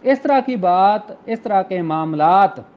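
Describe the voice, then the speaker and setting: High-pitched Pakistani man lecturing. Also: expressive, takes it slowly. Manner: didactic, explains with everyday examples. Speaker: law lecturer. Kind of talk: explanatory law lecture, reading out and explaining. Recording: dull, muffled lo-fi audio.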